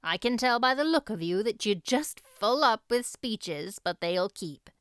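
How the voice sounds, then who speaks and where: A woman voicing a brisk, caring but no-nonsense older farm woman in an audiobook, matter-of-fact and dryly scolding. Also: a little high, lively intonation, fairly bright tone, clear, resonant tone, dry recording, studio quality. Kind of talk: audiobook character voice.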